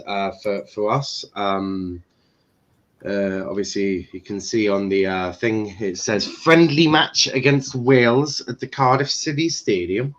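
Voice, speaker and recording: A man's voice: medium pitch, a bit bright. A young man hosting a casual football commentary stream, rambling with fillers and swearing. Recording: dull lo-fi stream audio.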